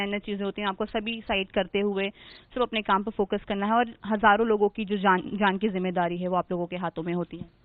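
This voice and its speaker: A woman's voice: mid-range voice, no echo. A woman news reporter presenting from the scene and questioning a guest in a formal, emphatic way. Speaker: field reporter. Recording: narrow phone-like audio.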